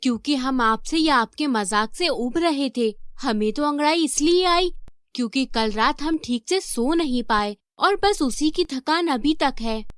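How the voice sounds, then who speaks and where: A woman's voice: high-pitched, animated, unhurried, a bit bright, no echo, clean studio sound. A woman playing an anxious queen in a storybook tale, deferential and pleading as she speaks. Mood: nervous, apologetic. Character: apologetic, anxious queen, the emperor's wife. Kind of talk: Hindi storybook acting.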